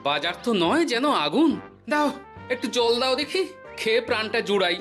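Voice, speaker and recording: A woman's voice: fairly high pitch, very animated, brisk, soft background music. A woman playing a put-upon, grumbling middle-aged father-in-law in a cartoon, exasperated and plaintive. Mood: weary, surprised. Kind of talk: cartoon story acting.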